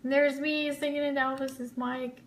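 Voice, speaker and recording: A woman's voice: sits high, flat delivery, moderate pace, a little hiss or hum. A woman giving casual live commentary on trip photos as host of an online fan show, chatty and enthusiastic.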